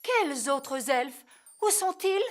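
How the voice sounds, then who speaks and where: A woman's voice: high-pitched, lively intonation, slow pace, loud music behind the voice. A woman voicing a regal fairy queen and mother in a French cartoon, doting but firm. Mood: composed. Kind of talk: French cartoon dubbing, storybook reading.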